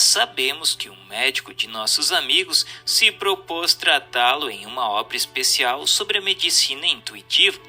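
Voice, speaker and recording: A man's voice: on the high side, pushed and bright. A man reading a scripted narration in a formal, didactic way with careful enunciation. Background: music.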